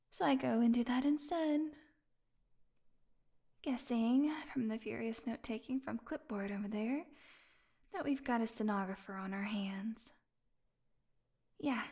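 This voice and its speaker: A woman's voice: on the high side, mellow, husky. A woman playing a snarky, defiant, starved vampire prisoner in an audio roleplay, with a sarcastic, taunting drawl. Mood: teasing, taunting.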